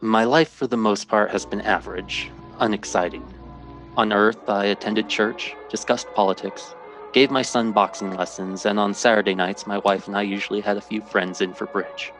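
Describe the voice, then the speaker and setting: A man playing a sober, ordinary math teacher, narrating gravely and deliberately in a 1950s-style radio drama. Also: fairly low pitch, fairly even intonation, a bit bright, faint music behind, natural voice. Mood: grave, reflective. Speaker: lead actor.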